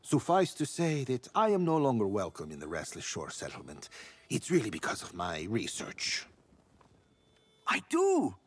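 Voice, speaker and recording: A man playing an eccentric, excitable fire-obsessed researcher in a video game, theatrical and eager, with a husky voice. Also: mid-range voice, moderate pace. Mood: excited, eager. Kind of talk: video game character dialogue.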